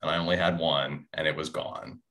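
A man's voice: a bass voice, little pitch movement, fairly quick, sharp tone. A man in his thirties, a writer, talking casually as a panelist on a video call. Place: small indoor room.

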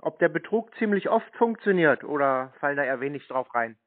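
A man's voice: fairly high pitch, very animated, a little fast, no room sound. A man chatting casually on the phone, playing naive and agreeable. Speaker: host posing as a scam victim on a prank-call show. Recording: tinny, band-limited phone line.

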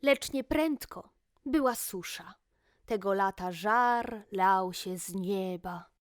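A woman storyteller narrating a rhymed fairy tale to children in an expressive, theatrical manner. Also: mid-range voice, slow pace, dry recording, clean studio sound.

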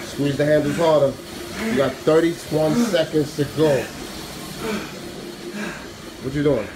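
A man's voice: on the high side, fairly even intonation, slow, noisy background. Man barking short workout commands. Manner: short repeated commands, casual slang. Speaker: workout coach. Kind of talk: coaching commands during exercise. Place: small home gym room, indoors. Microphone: handheld phone camera.

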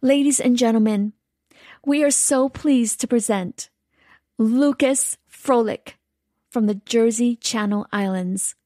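A woman co-host reading a scripted podcast introduction at a measured pace. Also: a little high, warm tone, dry recording.

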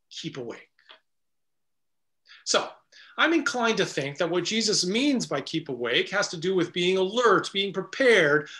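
A middle-aged man, a pastor, preaching an earnest, measured sermon indoors before a stone wall. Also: a little high, sharp tone.

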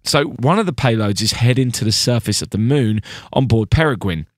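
A man's voice: mid-range voice, lively intonation, brisk, no echo, studio quality. A man hosting a podcast, upbeat and playful, mixing chat with scripted presenting. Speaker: podcast host.

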